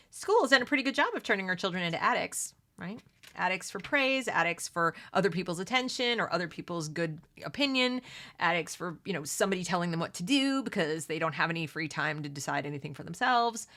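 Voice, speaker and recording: A woman's voice: lowish voice, a bit bright. A middle-aged American woman giving opinionated, unscripted commentary while reading aloud from a book, with conversational asides and rhetorical questions. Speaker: host of a read-along commentary video.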